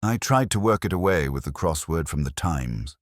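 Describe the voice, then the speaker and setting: Brooding older detective , played by a man: very deep voice, wide melodic range, reflective first-person drama narration, close dry sound. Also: lively intonation, no echo. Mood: uneasy, brooding. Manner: measured, literary, reflective.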